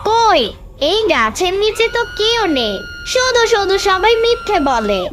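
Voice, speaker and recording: A woman's voice: very high-pitched, big pitch swings, a little slow, noisy background, exaggerated voice. A woman voicing a fearless, curious little village boy in a cartoon, bold, chirpy and inquisitive, with music behind. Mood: bold, scoffing. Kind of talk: cartoon character voice acting.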